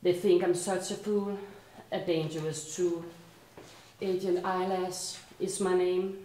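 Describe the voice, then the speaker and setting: Danish-accented woman, poet at a live reading, lowish voice, slow rhythmic delivery with soft line endings. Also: little pitch movement, reverberant, a little hiss or hum, rough recording. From Denmark. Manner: slow, rhythmic recitation that sinks quieter at line ends. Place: art and culture community venue.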